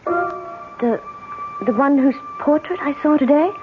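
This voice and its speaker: An actress playing an excitable, uneasy young American bride in a 1970s radio drama, breathless and hesitant. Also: female voice, sits high, slow, music in the background. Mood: curious, sympathetic. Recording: tinny, hissy old radio broadcast.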